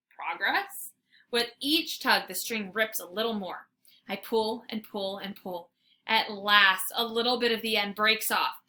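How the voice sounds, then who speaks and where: A woman reading a children's story aloud like a teacher, with dramatic, expressive narration. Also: a little high. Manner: dramatic, animated.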